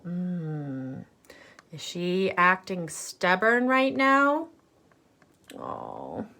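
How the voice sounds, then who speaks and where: A woman reading a picture book aloud in an expressive, teacherly way, pausing to put asides and questions to young listeners. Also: on the low side. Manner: animated, teacherly, pauses for questions.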